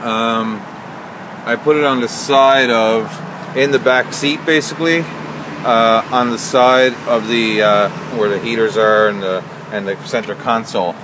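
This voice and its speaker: Man, amateur vlogger, mid-pitched voice, hesitant delivery, casual show-and-tell, clear background noise. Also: steady tone. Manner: halting, hesitant delivery with frequent pauses. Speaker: amateur vlogger showing an installation. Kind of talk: casual unscripted walkthrough.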